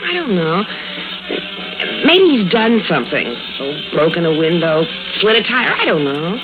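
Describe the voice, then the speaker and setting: An actress playing an anxious, genteel and protective wife in an old radio drama, fretful and politely prim. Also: female voice, lowish voice, sing-song, unhurried, over music, poor audio. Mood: worried. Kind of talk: mid-century radio drama acting.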